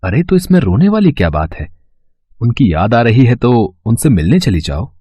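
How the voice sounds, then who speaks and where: A man playing a mild, concerned young husband in a melodramatic story, with a husky voice and a dull recording. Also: mid-range voice, big pitch swings, moderate pace, warm tone, dry recording. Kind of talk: melodramatic Hindi story acting.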